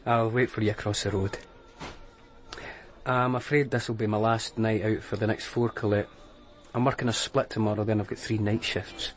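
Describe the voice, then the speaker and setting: A man playing an easygoing off-duty police officer chatting with his girlfriend in a radio drama, casual and gently teasing. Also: fairly low pitch, flat delivery, normal speed, close to an everyday voice. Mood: teasing, relaxed. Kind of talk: naturalistic radio drama dialogue.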